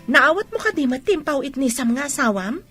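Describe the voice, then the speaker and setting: A woman acts a nosy, gossipy female friend in an Ilocano radio drama. Her voice is very high and inquiring, with faint music underneath. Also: big pitch swings, fairly quick. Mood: curious.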